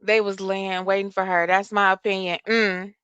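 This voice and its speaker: A soft-voiced woman with hosts a live chat, her tone bright and forceful. She sits close to a dry microphone and answers viewers in an animated way, cursing freely. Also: medium pitch, moderate pace, clear, resonant tone, dry recording. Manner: animated, talks back to chat, curses freely. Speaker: livestream host.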